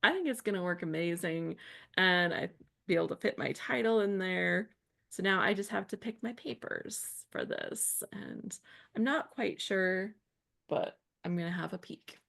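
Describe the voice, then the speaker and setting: A woman talks casually on a live craft stream. Her voice sits low and has a gravelly edge. She laughs often and trails off while sorting through her materials. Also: moderate pace, fairly bright tone. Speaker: co-host of a live scrapbooking crop.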